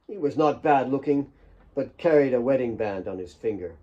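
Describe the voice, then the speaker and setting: A man reading a novel as dramatic audiobook narration, with measured, deliberate phrasing and dramatic pauses. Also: mid-range voice, expressive, highs cut off. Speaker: author reading own novel.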